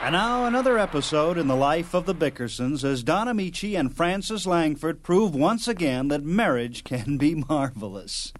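A man's voice: a little high, sing-song, normal speed, hardly any pauses, slight background noise. A man hosting a radio show with scripted announcer narration. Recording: loud music under the voice. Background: loud music.

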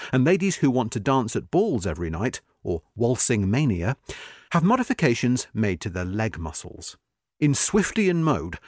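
Man, scripted narration, lively rising and falling delivery, dry close-miked sound, measured emphasis. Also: medium pitch, no echo. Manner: measured, expressive reading with marked emphasis. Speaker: narrator reading a magazine article.

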